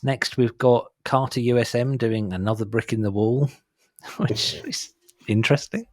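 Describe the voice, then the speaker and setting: A man's voice: medium pitch, steady tone, a little slow, dry recording. A middle-aged man hosting a music podcast in casual chat, making wry, dismissive asides as he reads out a track list. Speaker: podcast host.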